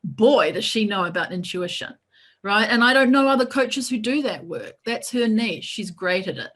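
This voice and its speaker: A woman's voice: medium pitch, expressive, moderate pace, a bit bright. Chatty encouraging New woman. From New Zealand. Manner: encouraging, talkative, leans on "right?". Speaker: lawyer and coach. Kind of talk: casual webinar talk.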